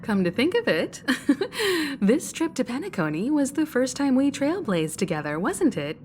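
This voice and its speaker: An actress playing a poised, caring mature woman leader in a video game, gently advising. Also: high-pitched, very animated, normal speed, a little hiss or hum. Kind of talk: video game character dialogue.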